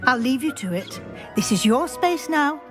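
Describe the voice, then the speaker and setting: A composed professor of witchcraft for a fantasy game. Her voice sits rather high and she instructs with formal authority. Music plays clearly behind her. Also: animated, normal speed. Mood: composed instruction. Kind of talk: video game voice acting. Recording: music underneath.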